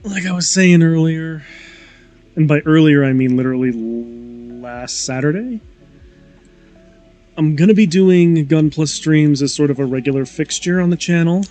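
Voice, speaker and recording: Man, casual streamer chat, slightly nasal, slow easygoing pace, mellow tone. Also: a little high, soft background music. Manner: laid-back, rambling with fillers. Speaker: streamer building model kits.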